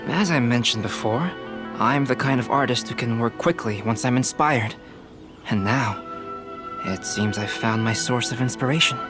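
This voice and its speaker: A man voicing a composed, courteous young artist in a video game, speaking in a measured, formal and thoughtful way. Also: mid-range voice, lightly acted. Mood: calm, assured. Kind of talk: video game character acting. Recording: music behind the voice.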